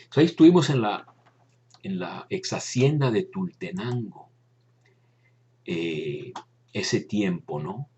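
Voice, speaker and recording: An older man with a Cuban accent, slowly reminiscing about his years as a friar, pausing and restarting as he shows old photos. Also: sits low, animated, mellow, slightly rough, lo-fi sound. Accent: Cuban Spanish. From Cuba. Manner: reflective, pausing often with fillers and restarts. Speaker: former Dominican friar recounting memories. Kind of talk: unhurried personal reminiscence, showing photos.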